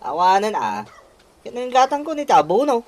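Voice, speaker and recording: A man's voice: high voice, sing-song, normal speed. An actor playing a put-upon grown son who complains about a spendthrift mother, in a radio comedy-drama style. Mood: reluctant.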